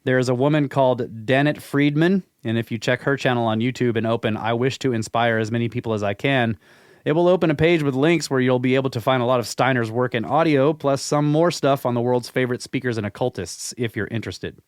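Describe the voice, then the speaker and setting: A man co-hosting a podcast, reading a listener's email aloud and then chatting about it in a relaxed, skeptical way. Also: medium pitch, brisk, no echo, clean studio sound. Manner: laid-back, skeptical, chuckles often. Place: studio.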